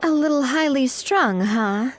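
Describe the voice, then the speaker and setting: A woman playing a candid, easygoing young female ally in a video game, conversational and expressive. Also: high voice, measured pace. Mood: wry.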